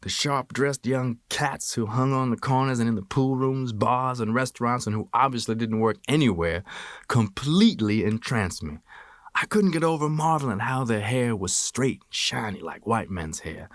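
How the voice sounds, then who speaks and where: An American man reading a first-person memoir as an audiobook narrator, with expressive, measured storytelling. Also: medium pitch, no echo, studio quality.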